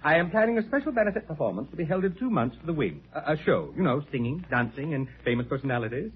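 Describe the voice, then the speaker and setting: An actor playing a pompous, fussy and effusive male hospital administrator in a classic radio comedy-drama, with a husky voice. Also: fairly high pitch, big pitch swings, fast talker. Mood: earnest, fussy. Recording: thin narrow-band old radio recording.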